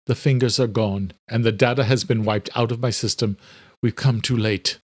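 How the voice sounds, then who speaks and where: A man's voice: medium pitch, fairly even intonation, moderate pace, no room sound, studio quality, natural voice. A man voicing a wry, determined doctor of science in a horror audiobook. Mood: dismayed.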